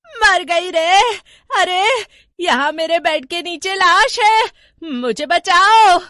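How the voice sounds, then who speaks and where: A woman voicing an older mother-in-law, panicky and complaining, with a shrill, dramatic manner in a horror story. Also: animated, slow, sharp tone, dry recording, clean studio sound, full character voice. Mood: panicked.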